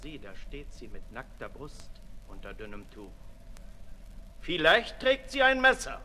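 A man playing a brooding, sensual libertine aristocrat in a 1960s German radio drama, declamatory, moving between hushed and loud. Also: medium pitch, wildly swooping pitch, a little slow, exaggerated voice. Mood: brooding, seductive. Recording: reverberant 1960s radio recording, faint noise.

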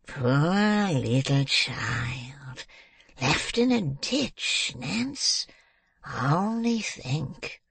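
A man voicing a crafty old criminal boss in a storybook reading, with a croaking, breathy voice and drawn-out, scheming delivery. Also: fairly high pitch, big pitch swings, fairly bright tone, dry recording, exaggerated voice. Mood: oily, wheedling.

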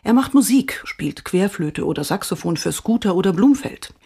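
A woman podcast host giving scripted, dramatic obituary narration with wry, theatrical phrasing and emphatic pauses. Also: mid-range voice, expressive, vocal fry, dark tone, breathy edge.

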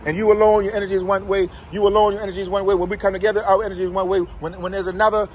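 A man chatting casually and excitedly as a tour guide, emphatic and often repeating his phrases. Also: sits high, very fast. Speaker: tour guide interviewing guests. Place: outdoors by a brick building and tour bus. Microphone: handheld phone, speaker behind camera. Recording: clear outdoor street and vehicle noise.

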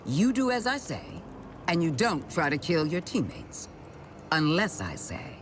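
An actor playing a coldly authoritative task force boss in a video game, clipped and commanding. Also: male voice, fairly high pitch, big pitch swings, unhurried. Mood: stern. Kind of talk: video game character acting. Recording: faint ambient noise behind.

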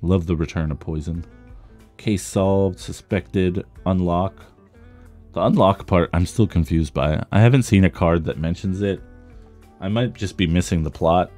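A man with a slightly rough voice, worn from talking, giving casual commentary as he opens trading cards. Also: sits low, unhurried, a little mellow, faint music behind. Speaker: trading card content creator. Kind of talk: casual card pack opening commentary.

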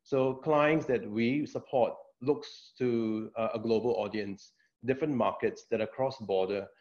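Measured man on a dull video call. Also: medium pitch. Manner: measured, with frequent fillers and repeats. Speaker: vice president of a tech firm, panel sponsor. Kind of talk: panel self-introduction. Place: indoor office.

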